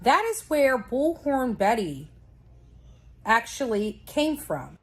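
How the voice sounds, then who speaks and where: A woman YouTuber introducing her video and its topic in a slow, sing-song way. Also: on the high side, big pitch swings, breathy edge.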